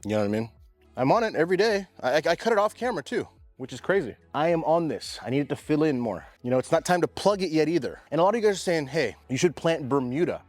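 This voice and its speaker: A man's voice: a little high, big pitch swings, fast talker, a little mellow, dry recording, soft background music. Young guy yard vlogger, casual, slangy, easygoing. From Pacific Northwest. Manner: laid-back, chatty, slangy, says bro and dude a lot. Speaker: lawn care vlogger. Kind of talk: casual vlog talk to camera. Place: outdoors in a yard.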